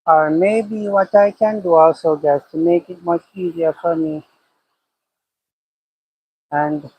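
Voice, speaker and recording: A man's voice: on the high side, fairly even intonation, slow pace, husky, dry recording, highs cut off. A man with a Jamaican accent, a cattle farmer livestreaming from his pasture, thinking aloud and repeating his phrases as he talks. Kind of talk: casual livestream chat, reading comments. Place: outdoors by a cow pasture.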